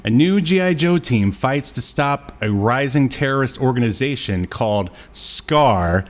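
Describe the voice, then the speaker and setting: A man's voice: mid-range voice, expressive, a little slow. A man co-hosting a podcast, chatting casually about media with wry, joking asides. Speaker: podcast co-host. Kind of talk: casual media review chat.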